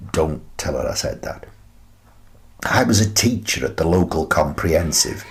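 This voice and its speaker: An actor playing a gentle, wistful, eccentric old British grandfather in a radio play monologue, rambling reflectively with hesitant pauses. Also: sits low. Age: older.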